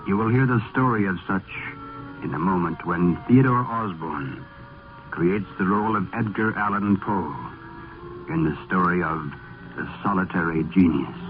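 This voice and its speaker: A tinny old radio recording with music behind carries an actor as a grave male storyteller. His deep voice is solemn and ominous, in 1950s radio horror style. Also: lightly acted. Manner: solemn, portentous, slow dramatic delivery. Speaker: narrator.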